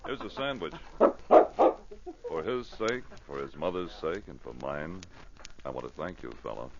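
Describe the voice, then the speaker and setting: A man's voice: extremely low pitch, lively intonation, moderate pace, gravelly, full character voice. A man with a North American accent playing a firm, reassuring Mountie sergeant in an old radio drama. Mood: reassuring, warm. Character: steadfast, kindly Mountie sergeant with a loyal dog. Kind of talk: 1940s-50s radio drama acting. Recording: noisy, muffled old radio broadcast.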